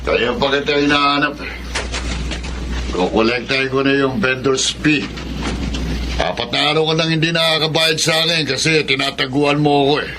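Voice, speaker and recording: A man's voice: on the high side, moderate pace, natural voice. A man playing a callous, demanding fee collector in a Tagalog audio drama. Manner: demanding, impatient. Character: pushy, callous male vendor-fee collector at beach resort. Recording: clear background noise.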